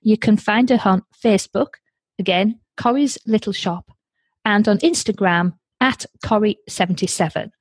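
Woman, Yorkshire accent, medium pitch, podcast host, chatty, exclamatory, dry recording. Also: moderate pace, husky, dry recording. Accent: Yorkshire English. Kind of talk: light-hearted interview chat.

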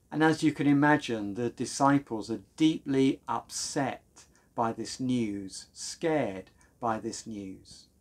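A British minister in his fifties speaks to his congregation from a room at home. His pace is slow and his phrasing deliberate, with a mid-pitched voice and reverent delivery. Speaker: church minister leading a service. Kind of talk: sermon and prayer, reverent delivery. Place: small room at home, indoors.

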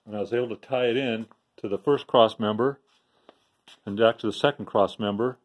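A man giving a casual, unscripted walkthrough of a home garage project, plain and unhurried with frequent restarts and fillers. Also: on the low side, steady tone. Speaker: DIY video maker. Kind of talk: casual show-and-tell walkthrough. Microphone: handheld phone camera, speaker off screen.

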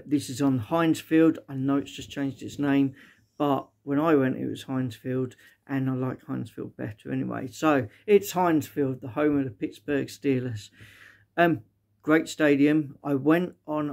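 A man's voice: mid-range voice, vocal fry, darkish tone. A middle-aged British man giving a casual, unscripted vlog review in a chatty, rambling way. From Britain. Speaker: vlogger reviewing stadiums as a fan. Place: small living room indoors.

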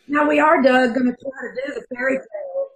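A woman's voice: high voice, sing-song, a little fast. A middle-aged woman, making casual announcements over a video call from a room at home. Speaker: troupe member making announcements. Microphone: video call microphone. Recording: roomy, echoey video call.

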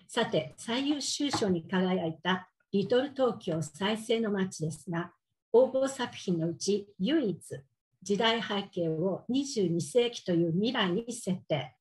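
An older woman, a native Japanese speaker with a Japanese accent, giving a prepared formal speech as a contest judge in a measured way. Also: medium pitch, expressive, darkish tone, poor audio. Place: indoors on a video call, virtual backdrop.

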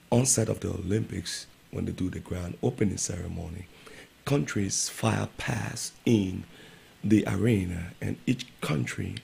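Deep gravelly man from Liberia preaching. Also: darkish tone, slight background noise. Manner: preachy, building emphasis. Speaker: radio show host, pastor. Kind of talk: exhortation to viewers. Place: small indoor radio studio. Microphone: boom studio mic.

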